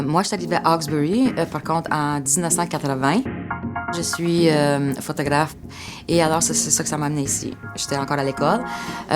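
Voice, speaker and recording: Low-voiced middle-aged woman. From Portugal. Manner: hesitant, many fillers and restarts. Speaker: local business owner. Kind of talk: casual interview answers. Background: music.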